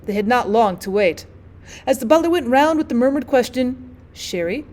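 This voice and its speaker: Female audiobook narrator, teacher reading literature aloud, voice on the high side. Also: lively intonation, moderate pace, darkish tone.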